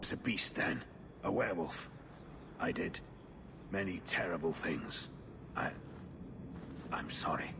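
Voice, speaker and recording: A cursed werewolf speaks in a low, crackling voice. He is remorseful and weary, halting between phrases in a dramatic game scene, with loud ambient noise behind him. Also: creaky, heavily acted. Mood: remorseful, pleading. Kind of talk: video game dramatic acting.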